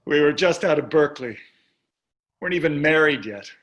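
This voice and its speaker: A man's voice: fairly high pitch, lively intonation, fairly quick, a bit bright. An older man playing a wealthy, glib and dismissive husband and father in a staged play reading. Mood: nostalgic.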